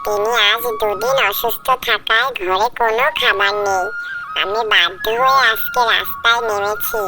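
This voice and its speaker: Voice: medium pitch, fairly even intonation, normal speed, music in the background, close to an everyday voice. A performer voicing a poor, hungry small boy in a children's cartoon, polite, humble and pleading. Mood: humble, sad. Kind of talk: children's moral cartoon dialogue.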